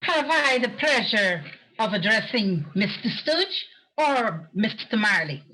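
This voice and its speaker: A woman playing a cheerful, genteel charity collector, polite, earnest and deliberate, in an amateur radio play. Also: a little high, sing-song, sharp tone, exaggerated voice, low-quality recording. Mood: polite, hopeful. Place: performed remotely over a video call. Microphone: video call.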